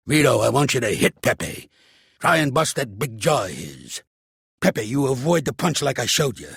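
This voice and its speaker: An actor voicing a shrewd, gruff old American mobster for a video game, streetwise and blunt, with a gravelly, husky voice. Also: male voice, medium pitch, dry recording, clean studio sound, full character voice. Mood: barking, coaching. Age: older. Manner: streetwise, commanding, blunt, slangy. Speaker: mobster mentor and boxing coach. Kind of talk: video game character acting.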